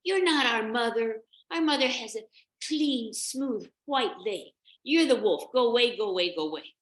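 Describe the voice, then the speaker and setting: Excitable young storybook goat kid, acted by a woman; raised pitch, very animated, naive and exclamatory. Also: normal speed, reverberant. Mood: indignant. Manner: high, eager, indignant exclamations. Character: excitable, trusting little goat kids, very young.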